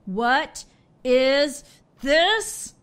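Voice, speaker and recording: A woman playing an authoritative pony mare who rules an underground shelter, speaking deliberately in an audio drama. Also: animated, fairly bright tone, full character voice. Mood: slow, dangerous. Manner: booming, authoritative, deliberate delivery.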